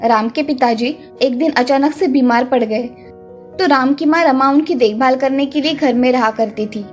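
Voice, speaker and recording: A woman's voice: high-pitched, moderate pace, a little mellow. A woman reading a scripted children's story as its narrator. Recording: music under the voice.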